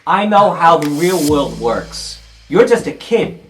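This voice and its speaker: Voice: medium pitch, big pitch swings, slow pace, a little hiss or hum, voice pushed up, poor audio. A game master voicing a female hammerhead shark government agent who is brusque, dismissive and patronizing in a tabletop radio game. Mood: dismissive, condescending.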